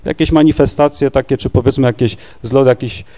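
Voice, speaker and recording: A man's voice: medium pitch, steady tone, fairly quick, warm tone. A man speaking on a panel discussion in a rambling, hesitant way, with many fillers and tag questions. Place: large university lecture hall.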